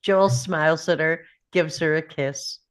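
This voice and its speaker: A woman reads scene headings and action lines from a script. Her voice sits fairly low, and she moves through the narration at a leisurely pace. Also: measured pace, clean tone. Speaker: reader of stage directions. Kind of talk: scripted narration, present-tense screenplay directions.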